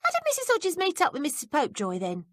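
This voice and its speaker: Woman reads clever prying housemaid, high eager questions, close dry sound. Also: wildly swooping pitch, quick pace, no room sound. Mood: probing. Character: nosy young housemaid, coy and clever.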